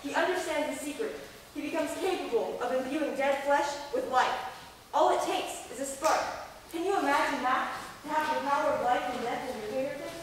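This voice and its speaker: A woman's voice: high voice, a little fast, close to an everyday voice. A schoolgirl playing a bold young woman author who tells a gothic horror story in a dramatic, teasing way on stage. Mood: intense, building. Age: child or young teen student. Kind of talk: stage reading of a gothic radio play. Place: large echoing school performance space. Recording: echoey hall from far off, faint noise.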